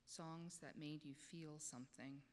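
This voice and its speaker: A woman's voice: sits low, fairly even intonation, unhurried, a little mellow. A woman leading a church congregation through a guided meditation with simple, spaced-out questions. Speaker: lay leader of guided meditation. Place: church sanctuary, speaking to congregation. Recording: echoey church hall, noticeable background noise.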